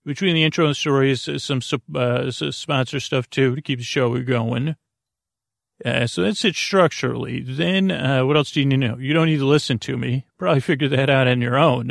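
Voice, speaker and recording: A man hosting a bedtime podcast, improvising a meandering, digressive monologue in a creaky voice, with halting stammers and repeated words. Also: mid-range voice, no echo, studio quality. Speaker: podcast host.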